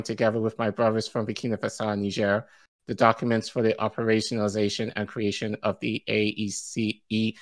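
A man's voice: on the low side, fairly bright tone. A male co-host reading news articles aloud on a live stream, stumbling over foreign names and laughing easily. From Igbo-speaking background. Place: home studio, indoors.

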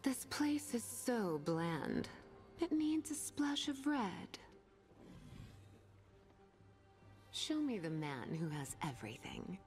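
A sultry female demon who savors pain for a video game. Her voice is breathy and teasing, slipping often into whispers. She takes her time and slides widely in pitch over faint music. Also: very animated, takes it slowly, darkish tone. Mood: sultry menace. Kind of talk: video game character voice lines.

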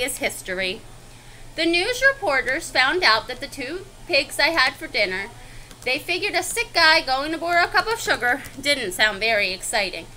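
A woman's voice: high-pitched, pushed and bright, a little hiss or hum, full character voice. A woman voicing an indignant, self-justifying wolf telling his side of a picture-book story in a chatty, confiding, theatrical way. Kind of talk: expressive picture-book read-aloud.

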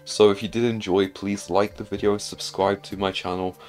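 A man's voice: on the low side, flat delivery, moderate pace, soft background music. A man giving casual gameplay commentary as a video host, talking to himself and asking himself questions as he plays.

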